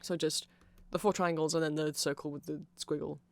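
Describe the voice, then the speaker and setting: A man's voice: on the high side, level tone, fairly quick, creaky, no room sound. A man giving a rambling ASMR explainer, often correcting himself as he goes. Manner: rambling, self-correcting, chuckling asides. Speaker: ASMR creator explaining band lore.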